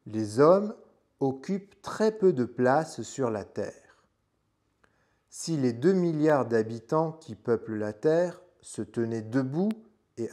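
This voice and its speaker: A man reading a storybook aloud as a French teacher would for learners, in measured, deliberate phrases with pauses. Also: mid-range voice, mellow.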